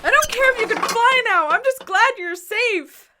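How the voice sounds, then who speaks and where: A woman's voice: very high voice, expressive, moderate pace, heavy background noise. A woman playing an emotional, defiant young musician in a radio drama, earnest and emphatic. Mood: relieved.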